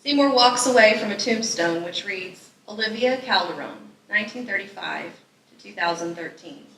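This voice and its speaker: A woman's voice: medium pitch, expressive, moderate pace, fairly bright tone. A woman reading scripted stage directions as narrator at a live table read. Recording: echoey room, distant mic, noisy.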